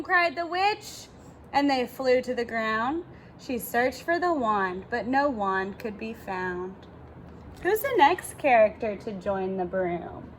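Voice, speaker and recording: A woman reads a picture book aloud to young children in a high, very animated voice. She takes her time and pauses to put questions to her listeners, with faint noise behind her.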